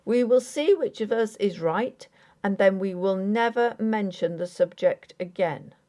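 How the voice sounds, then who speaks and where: A woman's voice: medium pitch, a little slow, warm tone, lightly acted. A woman voicing an earnest but impatient Victorian husband in an audiobook, formal, placating and patronizing. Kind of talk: dramatic audiobook character acting.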